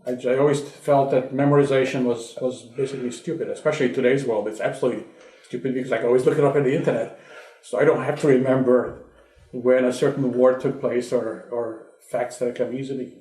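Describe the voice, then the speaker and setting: Hungarian-born man in his sixties, oral history interviewee, reflective with restarts, mid pitch, echoey room. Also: steady tone, fast talker, warm tone. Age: 60s. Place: his home. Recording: roomy home interview.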